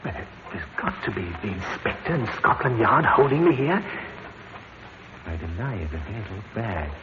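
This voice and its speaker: An actor playing a nervous, stammering murder suspect in a vintage radio drama. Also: male voice, fairly low pitch, lively intonation, moderate pace, big-room sound, close to an everyday voice. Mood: anxious muttering. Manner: fretful, stammering, self-justifying. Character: nervous middle-class murder suspect, guilty, indignant. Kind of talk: 1950s radio drama acting. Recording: tinny noisy old radio broadcast.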